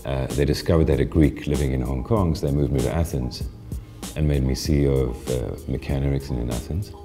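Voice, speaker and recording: A man answering interview questions, telling his story in an animated way with frequent restarts. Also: a bass voice, a little fast, soft background music. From South Africa. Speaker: interviewee, honoree.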